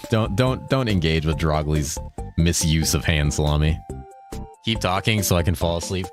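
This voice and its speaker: A young man streaming a video game, chatting casually with his viewers in a relaxed, rambling way, with a deep voice he holds slightly higher than its natural pitch. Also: a little slow, over music. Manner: laid-back, rambling, swears a lot, reads chat aloud. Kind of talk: casual live-stream chat while gaming.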